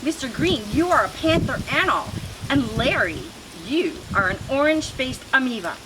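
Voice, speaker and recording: A woman's voice: sits high, expressive, moderate pace. Woman squawking as a pushy little pigeon. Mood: insistent. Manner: squawking, insistent. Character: excitable, bossy little male pigeon. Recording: noisy outdoor recording with traffic.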